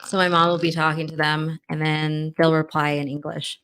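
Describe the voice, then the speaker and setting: A woman co-hosting a casual podcast chat, answering quietly and hesitantly. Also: deep voice, flat delivery, moderate pace, clear, resonant tone. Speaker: podcast co-host.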